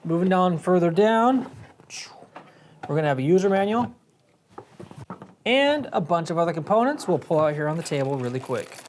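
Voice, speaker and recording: A man's voice: fairly high pitch, very animated, normal speed, long pauses, a little mellow, a little hiss or hum. An American man in his thirties presenting a product overview to camera in a casual, explanatory way. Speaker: product reviewer and presenter for a motorcycle gear shop. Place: indoor video studio set.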